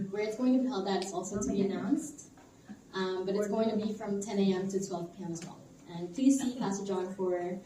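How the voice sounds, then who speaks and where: A woman with a mellow, dark-toned voice gives church announcements casually, pausing on "um"s. She sounds distant from the mic in a roomy, echoing hall. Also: mid-range voice, a little hiss or hum. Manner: casual, with filler ums. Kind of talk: reading announcements.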